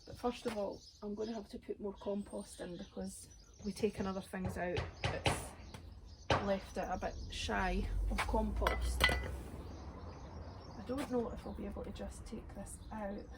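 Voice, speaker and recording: A woman, her voice raspy today, chatting casually as she works in her outdoor garden with birdsong around her. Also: medium pitch, steady tone, unhurried, background noise. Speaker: gardening vlogger. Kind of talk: casual chat while gardening.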